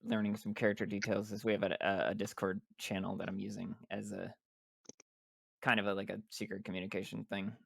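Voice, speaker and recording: A man's voice: lowish voice, level tone, a little fast, creaky, dry recording, clean studio sound. A man playing a tabletop roleplaying game, explaining and asking things in casual table chat.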